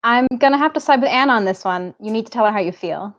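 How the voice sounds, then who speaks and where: Lady doing a bossy supportive college girl, highish voice, bit muffled audio. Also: fast talker, a bit bright, natural voice. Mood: concerned. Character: supportive but bossy young female college friend. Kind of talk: table read of a pilot script.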